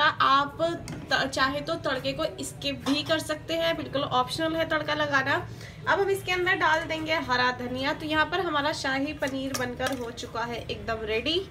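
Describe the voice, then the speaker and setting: A woman hosting a cooking channel, talking through a recipe one step at a time in a steady, teaching manner and repeating each step. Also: high voice, normal speed, background noise. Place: home kitchen. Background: sizzling and stirring in a pan.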